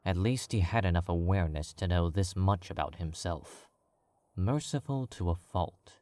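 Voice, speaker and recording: A man narrating a story in a calm, measured read. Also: low voice, no room sound.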